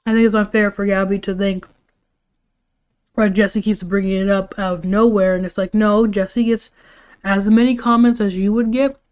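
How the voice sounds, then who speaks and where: A woman's voice: medium pitch, steady tone, moderate pace, darkish tone, clear, resonant tone. A tired woman talking casually on a livestream, giving her opinions in an informal, rambling way with some casual swearing. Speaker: livestream host.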